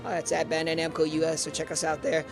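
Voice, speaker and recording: A man's voice: on the high side, monotone, quick pace. A man hosting a live game stream, commenting on gameplay and hesitating often with fillers and restarts. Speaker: stream host. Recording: clear music underneath.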